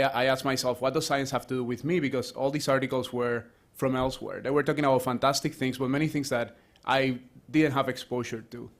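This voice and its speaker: A man from Rico, an associate professor giving a conversational panel talk, full of restarts and fillers. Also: medium pitch. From Puerto Rico. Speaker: associate professor, speaker at a round table.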